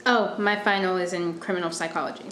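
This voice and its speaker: A woman's voice: on the low side, fairly even intonation, fast talker, fairly bright tone, slight background noise, lightly acted. Woman as a guarded young student, echoey room. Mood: casual, guarded. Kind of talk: radio drama dialogue. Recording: echoey room, music behind.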